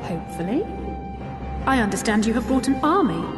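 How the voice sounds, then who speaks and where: An actress playing a brisk, no-nonsense ally in a fantasy game, precise and businesslike. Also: female voice, fairly high pitch, very animated. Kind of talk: formal fantasy game dialogue. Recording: echoey, music behind.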